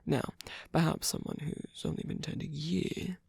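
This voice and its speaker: A man playing a gentle, centuries-old vampire boyfriend in an ASMR roleplay, speaking softly in a breathy, husky half-whisper with a hesitant, comforting manner. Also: a little high, fairly even intonation, normal speed, dry recording, exaggerated voice. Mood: earnest, reassuring. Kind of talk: ASMR roleplay, soft intimate monologue.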